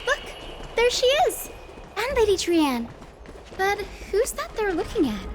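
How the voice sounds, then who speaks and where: A woman playing a kind, dutiful young healer in a video game, speaking gently and politely. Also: very high-pitched, heavily acted. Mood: bright, pleased, then alarmed. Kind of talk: voiced game dialogue.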